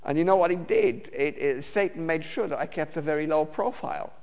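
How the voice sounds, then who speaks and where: A man's voice: on the high side, darkish tone. Man preaching in a reverberant hall. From Romania. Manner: halting, many fillers and restarts. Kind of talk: personal testimony sermon.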